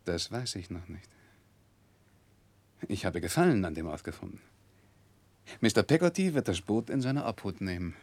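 An actor playing a moody, proud, impatient young gentleman in a 1960s German radio drama, with a husky, gravelly voice. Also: low voice, animated, normal speed, pauses often, dry recording. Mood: restless, moody.

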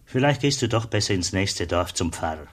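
An actor playing a friendly, hospitable customs officer at a border post in a radio play, with a husky voice. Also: male voice, low-pitched, lively intonation, fairly quick. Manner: kindly, hospitable.

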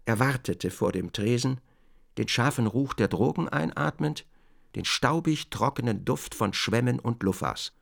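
A man's voice: medium pitch, lively intonation, measured pace, gravelly. A man reading scripted literary narration as the narrator of a radio drama.

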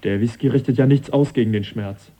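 An actor playing a reserved, sensitive young English naturalist in a radio drama, speaking politely in a measured, understated way. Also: male voice, mid-range voice, dark tone, lightly acted. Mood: hesitant, troubled.

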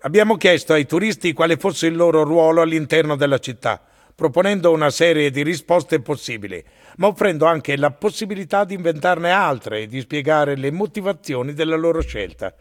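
A man's voice: fairly high pitch, a little fast. A man reading scripted questions and narration as a radio interviewer. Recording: long reverb, music behind. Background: music.

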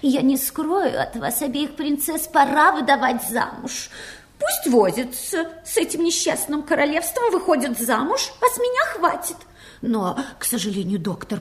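A fretful fairy-tale queen mother, high voice, extremely animated, theatrical, drawn-out delivery. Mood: nervous, confiding. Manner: theatrical, gushing, with nervous fussiness. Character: flustered, exasperated middle-aged widowed queen mother. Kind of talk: audio fairy-tale play acting.

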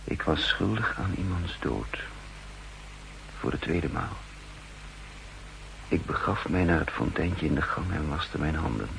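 A low, gravelly male voice for a brooding spy telling his own story in a 1960s radio play. He is grave and very deliberate, over a faintly humming old recording. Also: animated, background noise, full character voice. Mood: guilt-ridden. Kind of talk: 1960s radio play first-person narration.

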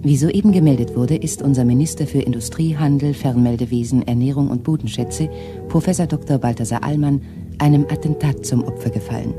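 A man's voice: medium pitch, a little fast, over music, lightly acted. A man playing a formal radio newsreader. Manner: formal, even news announcement. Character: male radio announcer, official and neutral.

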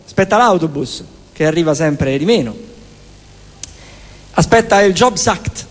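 A man performing wry, satirical storytelling, moving between spoken monologue and song in a conversational manner. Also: high-pitched. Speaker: singer-songwriter and stage performer. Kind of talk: theatrical spoken monologue and sung storytelling songs with scat refrains. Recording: music throughout, plucked guitar. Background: acoustic guitar accompaniment.

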